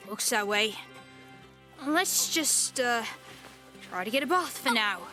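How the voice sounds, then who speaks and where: A woman's voice: a little high, expressive, drawn-out words, bright, forceful tone, exaggerated voice. A woman voicing a guarded, prickly young boy, curt and suspicious, in an audio adventure drama. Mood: teasing. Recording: faint music behind.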